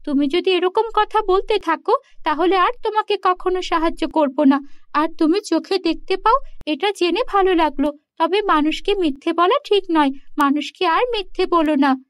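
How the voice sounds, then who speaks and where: A woman's voice: very high voice, moderate pace, no room sound, studio quality. A woman voicing an earnest, principled young village girl in a cartoon fairy tale. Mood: earnest, proud.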